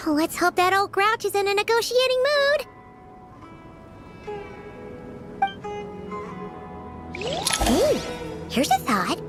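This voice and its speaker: A woman voicing a tiny, eager, childlike female fairy companion in a video game, with a squeaky voice and a proud, chirpy manner. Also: big pitch swings, a little slow, a bit bright, faint music behind, exaggerated voice. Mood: musing. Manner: eager, refers to self in third person. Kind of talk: video game character dialogue.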